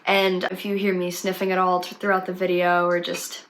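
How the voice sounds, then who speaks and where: A woman's voice: on the low side, completely flat delivery, brisk, fairly bright tone, low-quality recording. Sniffly young woman telling true crime. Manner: chatty, conversational with fillers. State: has a cold, sniffly. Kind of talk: casual true crime storytelling.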